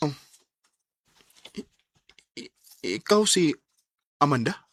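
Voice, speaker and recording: A man's voice: fairly high pitch, lively intonation, slow pace, fairly bright tone, heavily acted. A man acting a lovestruck young man, shocked and indignant, in a Filipino radio drama with a Cebuano accent and emotive delivery. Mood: stunned. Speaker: lead actor and narrator of letter drama.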